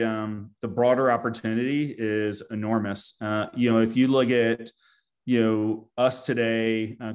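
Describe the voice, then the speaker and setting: A man speaking as a company executive, giving answers on an earnings call. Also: medium pitch.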